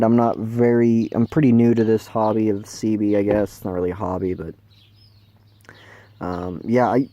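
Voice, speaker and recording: Mellow, low-voiced American man, casual narration. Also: muffled recording. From Kansas, United States. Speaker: amateur video maker, CB radio newcomer.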